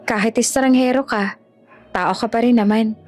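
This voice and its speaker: A woman's voice: on the high side, normal speed, a little mellow, close to an everyday voice. Lady narrator doing a brave blunt young country girl, emotional, romance audio drama, music behind. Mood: firm, emotional. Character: feisty, plain-spoken young provincial woman, anxious but brave.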